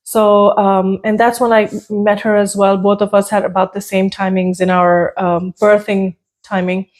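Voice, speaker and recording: A woman's voice: mid-range voice, level tone, moderate pace. A woman in her thirties hosting a parenting podcast, chatting casually with many fillers and restarts. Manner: warm greeting, conversational with fillers and repeats. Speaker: podcast host.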